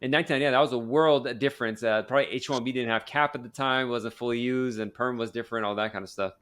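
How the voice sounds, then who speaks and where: A man hosting a podcast, racing through his words at a brisk clip. He rambles a little, doubling back with fillers and restarts as he chats. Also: medium pitch, steady tone, a bit bright. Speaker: podcast host. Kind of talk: casual interview and promo.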